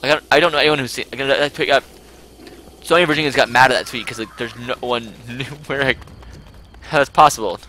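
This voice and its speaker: A man's voice: mid-range voice, fast, bright, forceful tone, slight background noise. An American man chatting casually as he hosts a gaming livestream, rambling and playfully argumentative. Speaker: livestream host playing a video game.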